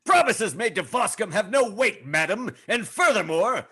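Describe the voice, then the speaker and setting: A man's voice: high voice, lively intonation, normal speed, pushed and bright, husky, full character voice. A man voicing a pompous, indignant alien navy captain with haughty, florid diction in a comedy table read. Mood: indignant outrage.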